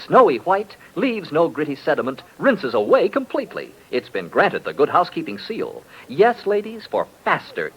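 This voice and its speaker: A man announcing in 1940s radio style, narrating and pitching products with emphatic, promotional delivery. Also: husky. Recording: dull, lo-fi old radio broadcast.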